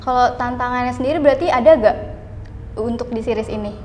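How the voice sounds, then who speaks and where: A woman's voice: fairly high pitch, quick pace, a little mellow, big-room sound, a little hiss or hum. A young woman asking questions as a casual, informal interviewer. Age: young adult.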